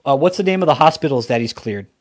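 A man's voice: medium pitch, lively intonation, fast talker. A man, probably in his thirties, arguing heatedly as a host, blunt and profane, often cutting in. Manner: blunt, combative, profane, interrupting. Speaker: YouTube host confronting a caller. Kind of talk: heated phone argument. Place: small room at home.

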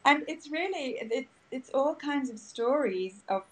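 Upbeat higher-voiced woman guest. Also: animated, unhurried. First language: English. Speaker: guest, podcaster. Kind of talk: casual interview answers.

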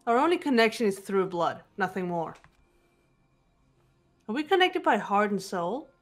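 A woman's voice: medium pitch, very animated, lightly acted. Woman playing a composed, guarded teenage girl detective. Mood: restrained bitterness. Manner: measured, serious, deliberate delivery. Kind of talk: amateur video game voice acting. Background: background music.

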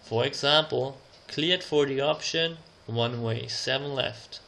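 A man narrating an instructional slide presentation as a tutor, reading out example phrases in a deliberate, measured way. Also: mid-range voice, creaky, sharp tone, slight background noise.

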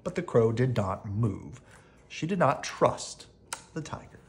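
An expressive children's storybook narrator. The reading is unhurried, with a lively, swooping pitch. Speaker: storybook reader.

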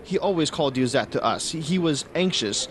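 An actor playing an evasive, courteous Viennese baron in a radio drama, with an Austrian German accent and a hesitant, ingratiating manner. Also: male voice, fairly high pitch, lively intonation, normal speed, a little hiss or hum, natural voice. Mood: solemn.

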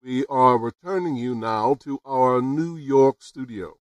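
A man's voice: mid-range voice, measured pace, dry recording, distant mic, lightly acted. A man playing an earnest radio news reporter in a formal, announcing manner, in the style of a 1930s radio drama. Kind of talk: 1930s radio drama acting, live broadcast commentary.

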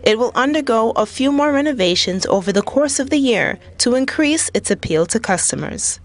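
Woman, fairly high pitch, scripted news voice-over, even delivery. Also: normal speed. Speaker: reporter narrating a news feature.

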